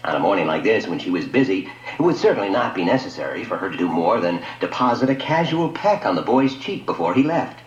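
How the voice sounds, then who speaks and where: A man narrates a radio story in a fairly low voice with widely swinging, animated intonation. His scripted, dramatic reading sounds dull and lo-fi. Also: fast talker. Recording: dull lo-fi audio, echoey room.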